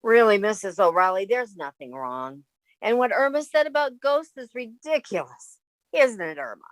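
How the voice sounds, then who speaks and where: A woman's voice: medium pitch, very animated, normal speed, close to an everyday voice. A woman playing a sensible, exasperated young roommate in a 1950s-style radio comedy. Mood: exasperated, reassuring.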